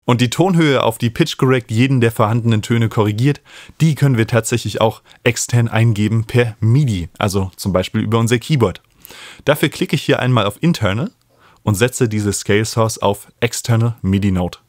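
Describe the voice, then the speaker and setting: A man, explaining music software as an instructor in a tutorial. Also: mid-range voice, normal speed, dry recording, clean studio sound. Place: small home studio, indoors. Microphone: studio microphone up close.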